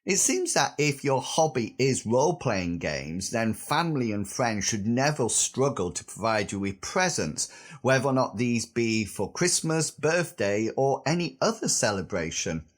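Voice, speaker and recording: A man in his fifties hosting a solo podcast, husky from a recent sore throat, chatty with self-deprecating asides. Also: mid-range voice, sing-song, measured pace. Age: 50s. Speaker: podcast host. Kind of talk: scripted solo podcast monologue.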